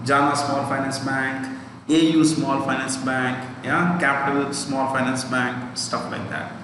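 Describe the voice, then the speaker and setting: A man's voice: mid-range voice, level tone, unhurried. A man with an Indian accent teaches a banking exam lesson, repeating and spelling out key phrases and checking in with the listener. He is not a native Hindi speaker. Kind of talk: live exam lesson, explaining and dictating. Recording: echoey reverberant room, distant mic, faint noise.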